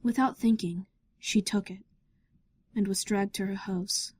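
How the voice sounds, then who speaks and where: A woman narrates a dramatic story. Her pitch is medium and her delivery is very slow and measured. The recording is dry, with no room sound. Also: fairly even intonation, a little mellow, breathy edge, no room sound.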